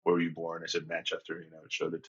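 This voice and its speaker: Male coach, 50s, low husky voice with a bright edge, dull lo-fi recording. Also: completely flat delivery, fast talker. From England and Jamaica. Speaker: executive and leadership coach. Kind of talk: reflective conversational storytelling.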